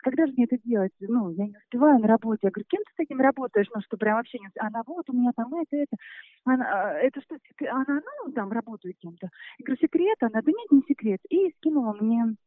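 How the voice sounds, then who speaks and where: A woman's voice: on the high side, expressive, quick pace, no echo, phone-quality sound. A woman casually recalling things about a friend in a rambling, self-interrupting way. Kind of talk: casual spoken recollection.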